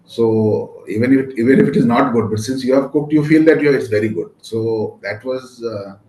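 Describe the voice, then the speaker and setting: A man's voice: medium pitch, moderate pace, dark tone. A man in his fifties, a native Maithili speaker with an Indian English accent, answering interview questions in a rambling way with many fillers. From India, West Bengal. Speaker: guest, real estate lawyer. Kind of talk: casual interview conversation. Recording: echoey room with faint noise.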